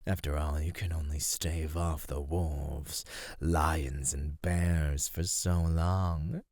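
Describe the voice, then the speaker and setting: A man playing an ancient male serpent-like monster in an ASMR roleplay, patient, menacing and teasing, with deliberate, ornate speech. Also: a bass voice, steady tone, a little mellow, dry recording, clean studio sound. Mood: lecturing, condescending. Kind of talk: ASMR roleplay, scripted acting.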